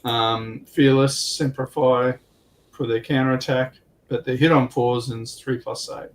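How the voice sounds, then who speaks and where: A man chatting casually as he explains tabletop game rules, with halting, hesitant delivery. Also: medium pitch, fairly even intonation. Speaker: guest on a tabletop wargaming livestream. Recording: clearly noisy background.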